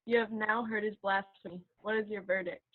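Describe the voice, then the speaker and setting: A woman reading the part of a stern, accusing high priest in a church Passion reading, over a muffled connection. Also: fairly even intonation, brisk, natural voice. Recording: muffled, phone-like sound.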